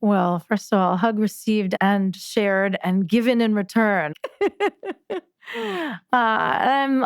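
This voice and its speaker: Thoughtful woman podcast host, unhurried and gentle, smooth clear voice, dry close sound. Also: mid-range voice, no room sound. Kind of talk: reflective conversation about poetry.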